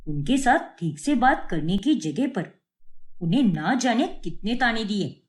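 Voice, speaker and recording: A woman acts out an older husband in a cartoon family story. He is stern and fed up, scolding in a mid-pitched, animated voice. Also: measured pace. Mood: exasperated. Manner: scolding, forceful wording.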